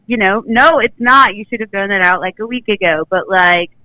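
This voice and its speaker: A woman's voice: medium pitch, normal speed, pushed and bright, dry recording, poor audio. A woman in her twenties calling in to a show, chatting casually and filling her talk with "like". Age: 20s. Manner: chatty, full of "like". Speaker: caller. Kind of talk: casual phone-in chat.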